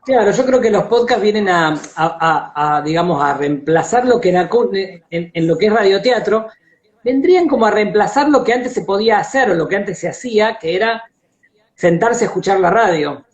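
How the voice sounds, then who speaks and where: A man with an Argentine Rioplatense accent interviewing casually, halting and repeating words as he searches for phrasing. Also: high voice, animated.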